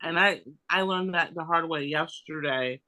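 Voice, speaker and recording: A man chatting casually in a group discussion, halting as he thinks aloud. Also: a little high, pushed and bright. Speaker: participant in a genealogy group discussion. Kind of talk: casual chat.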